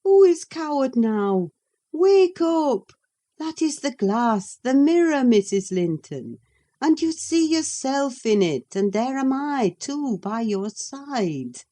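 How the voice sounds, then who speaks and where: A woman reading an audiobook, voicing a steady, practical housekeeper who speaks firmly and soothingly. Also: sits high, takes it slowly, voice pushed up, exaggerated voice. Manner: firm, soothing.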